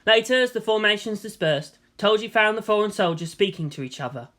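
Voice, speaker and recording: Dramatic mid-pitched story narrator. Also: animated, a little fast, a bit bright. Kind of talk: dramatic scripted fan fiction narration.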